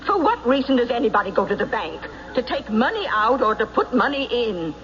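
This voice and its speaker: An actress playing a distraught, defiant farm wife in an old radio drama, emphatic and quick to bristle. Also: female voice, a little high, sing-song, a bit bright. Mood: defensive. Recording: muffled vintage radio recording, faint noise.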